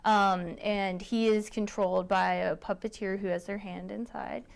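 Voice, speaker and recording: A woman's voice: mid-range voice, steady tone, normal speed, vocal fry. A woman speaking as a guest puppeteer, explaining and demonstrating in a hesitant, stop-start way. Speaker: guest puppeteer and theatre director.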